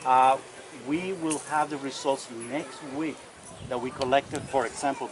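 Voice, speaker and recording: A soft-voiced man who struggles to project, giving a measured, formal technical explanation as a county official at a public meeting. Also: mid-range voice. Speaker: county environmental official answering questions. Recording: noisy public meeting, loud ambient noise.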